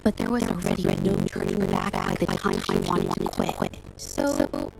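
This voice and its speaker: A woman playing an earnest young painter in a video game, nervous yet principled, with a halting, righteous manner. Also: extremely low pitch, wildly swooping pitch, vocal fry, loud music behind the voice, heavily acted, low-quality recording. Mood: firm, accusing.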